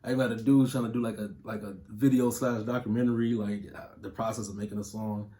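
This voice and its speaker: A man's voice: lowish voice, level tone, quick pace, warm tone. A man in his thirties from Ohio, a music producer answering interview questions in a loose, casual way with plenty of fillers and slang. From Toledo, Ohio. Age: 30s.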